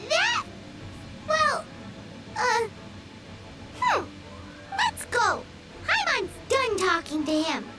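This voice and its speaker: A tiny, excitable fairy companion from a video game. The childlike voice is squeaky and very high, swooping about with an easily offended air. Music plays clearly behind it. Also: female voice, extremely slow, long pauses, distant mic, exaggerated voice. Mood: flustered. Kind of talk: video game character acting.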